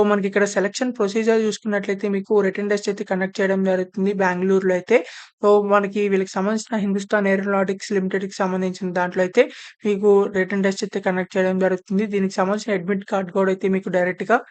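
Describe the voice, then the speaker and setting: A woman's voice: medium pitch, little pitch movement, racing, a little mellow. A woman presenting an informal explainer voice-over, repeating her phrasing and mixing in many English terms. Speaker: presenter of job update videos. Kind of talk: informal explainer narration of a job notification.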